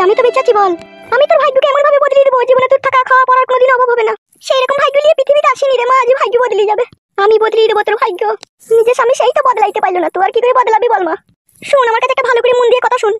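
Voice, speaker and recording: Someone voicing a very high-pitched, quick-talking cartoon village woman. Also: highs cut off. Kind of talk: Bengali comedy cartoon dialogue. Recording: music behind the voice.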